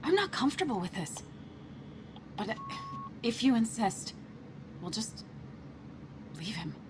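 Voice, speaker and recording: Anxious, higher-voiced principled friend. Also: female voice, big pitch swings, a little slow, background noise. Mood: uneasy. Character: anxious, principled female friend, outspoken and caring. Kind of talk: naturalistic video game acting.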